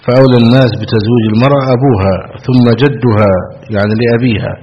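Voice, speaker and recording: A man's voice: mid-range voice, steady tone, racing. A man from Egypt giving a religious lesson as a scholar, explaining legal points didactically and repeating phrases for emphasis. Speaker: Islamic scholar teaching a class. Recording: dull, noisy lo-fi classroom recording with clicks.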